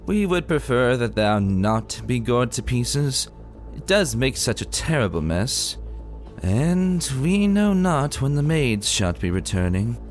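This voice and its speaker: A man's voice: medium pitch, very animated, takes it slowly, faint music behind, pitched above the performer's own voice. A man voicing a haughty, weary pony princess with dry, formal, old-fashioned speech, in a dramatic fantasy story reading. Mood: dry concern. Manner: archaic, formal, sighing. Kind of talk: dramatic fan-fiction reading.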